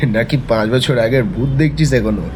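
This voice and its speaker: Man acting a mid-pitched, mocking tease. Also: moderate pace, noisy background, natural voice. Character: mocking, teasing man needling an underling.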